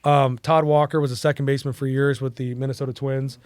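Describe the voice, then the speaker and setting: A man's voice: medium pitch, little pitch movement, fast, darkish tone, smooth, dry recording, clean studio sound. A Mexican-American man in his forties, telling a long casual story as a podcast guest, rambling with fillers and restarts. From United States.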